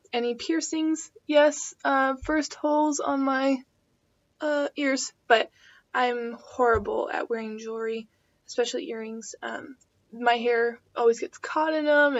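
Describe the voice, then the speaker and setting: Chatty young woman, dull lo-fi audio. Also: high voice. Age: 20s. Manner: chatty and rambling, full of fillers. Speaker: vlogger. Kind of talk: casual chat answering tag questions. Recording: dull lo-fi home video audio.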